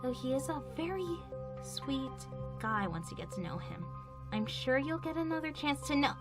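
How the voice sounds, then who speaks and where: A high-voiced, caring pony princess from a cartoon, acted by a woman, firm and earnest as a worried guardian. Her delivery swings widely and pleads emphatically, with clear music behind it. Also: big pitch swings, moderate pace. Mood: gentle, regretful. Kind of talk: animated cartoon drama acting.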